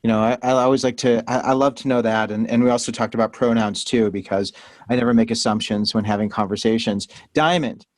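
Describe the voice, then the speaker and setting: A middle-aged man hosts a panel interview, asking curious, encouraging questions. His voice sits in a middle pitch, recorded close and dry. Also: steady tone, fairly quick, no room sound. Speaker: host.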